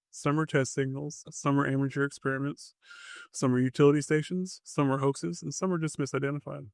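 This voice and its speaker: A male podcast host narrates a mystery script in a gravelly, creaky voice. He speaks in short, dramatic phrases and slips in wry asides. The sound is dry and close, and his pitch stays fairly even. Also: no echo.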